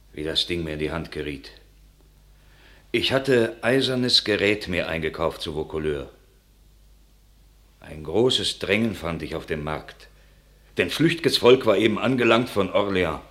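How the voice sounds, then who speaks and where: An earnest country man in a classic radio play, carrying grim news of war. His voice is very deep, and he tells his report with dramatic weight. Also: fairly bright tone. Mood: recounting.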